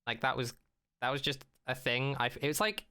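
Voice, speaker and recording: Male podcast co-host, crisp and bright, loose rambling banter, informal and jokey. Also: medium pitch, lively intonation, a little fast. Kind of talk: casual banter.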